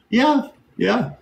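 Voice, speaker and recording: A man's voice: extremely high pitch, creaky. A young man hosting a casual live chat game, teasing and playful and loose with his swearing, speaking into a phone camera in a bedroom. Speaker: livestream host. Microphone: phone camera on a live stream.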